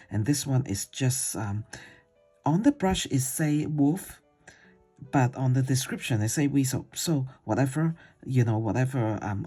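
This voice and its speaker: Chatty, husky voice. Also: mid-range voice, dark tone. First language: Cantonese. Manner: rambling and chatty, with many hesitations and fillers; simple, non-native grammar. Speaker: watercolor art teacher. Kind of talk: casual painting tutorial talk.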